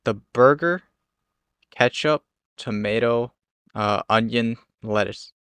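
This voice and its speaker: A man's voice: mid-range voice, takes it slowly, a bit bright, clean tone, dry recording. Dry-sounding casual young man. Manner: easygoing, laughs easily. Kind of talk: casual chat.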